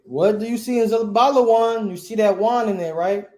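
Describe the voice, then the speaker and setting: High-voiced man teaching in an echoey room. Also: normal speed, dark tone. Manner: quizzing the class, repeats phrases. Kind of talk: classroom teaching.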